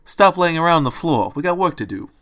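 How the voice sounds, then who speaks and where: A man voicing a gruff, bossy streetwise bunny in a storybook, with an Italian accent and brash slangy talk. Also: a little high, sing-song, fast, fairly bright tone, voice pushed up. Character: gruff bossy streetwise male bunny, tough-guy temper.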